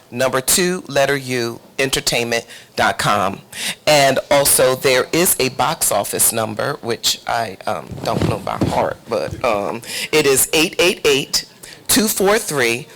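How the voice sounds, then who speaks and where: A woman's voice: very deep voice, lively intonation, slow pace, a bit bright, a little hiss or hum. A woman speaks as an interview guest on a panel. Her talk is heartfelt and casual, and she leans on repeated phrases to drive a point home. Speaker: playwright and producer, interview guest.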